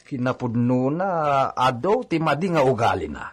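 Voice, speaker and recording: An actor playing a worried, respectful hacienda servant in a radio drama, speaking earnestly. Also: male voice, medium pitch, sing-song, moderate pace, crowd noise, close to an everyday voice. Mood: resigned, concerned. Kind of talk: Ilocano radio drama acting.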